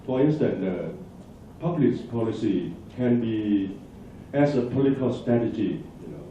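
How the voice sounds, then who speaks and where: A man from Thailand presenting at a conference, answering audience questions in a halting way with many fillers and restarts. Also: mid-range voice, expressive, dark tone, a little hiss or hum. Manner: hesitant, halting, restarts words, frequent fillers. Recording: echoey hall, distant dull mic.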